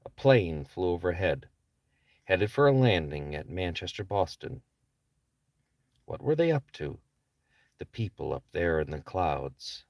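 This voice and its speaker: Low voiced guy reading a novel out loud, pitch moves around a lot, sits a bit far off the mic. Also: very animated, measured pace, vocal fry, pauses often, dry recording, clean studio sound. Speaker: author reading his own novel as audiobook. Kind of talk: scripted narration, audiobook reading. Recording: distant microphone.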